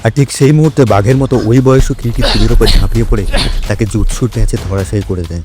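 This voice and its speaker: A man's voice: fairly low pitch, fast talker, loud music behind the voice. A man narrating a story for an audio drama in a scripted, dramatic storytelling style.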